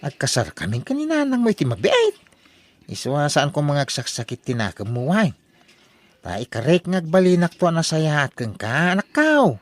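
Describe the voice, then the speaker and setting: An actor playing an eager, scheming husband in an Ilocano comedy radio drama, with an exaggerated delivery. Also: male voice, on the high side, huge pitch swings, normal speed, no room sound. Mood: coaxing.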